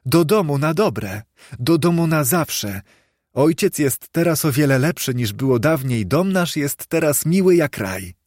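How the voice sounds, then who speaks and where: A man's voice: a little high, lively intonation, a little slow, a little mellow, no echo, clean studio sound, pitched above the performer's own voice. A man voicing an affectionate little girl, gushing and eagerly repeating herself as a joyful younger sister in a storybook. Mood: joyful, loving.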